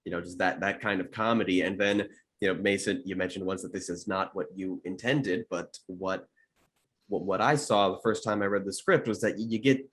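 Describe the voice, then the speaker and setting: A man talking casually on a panel as a producer and voice actor, in a halting way with repeated restarts. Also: low voice, fairly even intonation, slight background noise. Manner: halting, with repeated false starts. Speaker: producer and voice actor on an audio drama. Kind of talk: casual retrospective panel chat.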